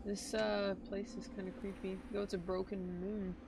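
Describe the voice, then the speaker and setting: A woman's voice: mid-range voice, flat delivery, moderate pace. A woman streaming a game, chatting casually in a slangy, offhand way. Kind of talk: casual live stream chat while gaming. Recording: clear background noise, clicking, game audio.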